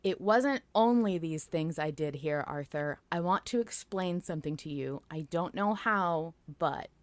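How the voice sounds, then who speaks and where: A woman hosting a casual fan podcast, talking in an excitable, emphatic way and swearing freely. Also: on the low side, a little slow. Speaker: podcast host.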